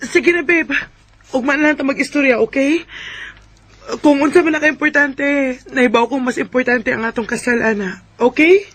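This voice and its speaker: A woman acts a young bride-to-be in a radio drama. Her voice is high, and she talks in a coaxing, affectionate, pleading way. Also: normal speed, lightly acted. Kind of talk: Filipino radio drama acting.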